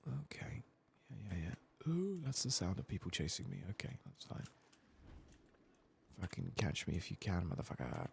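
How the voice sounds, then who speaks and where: Male gaming streamer, soft-spoken and half-whispered, gravelly with lively swings in pitch, casually sweary running murmur. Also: normal speed, a little hiss or hum. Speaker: streamer playing a video game.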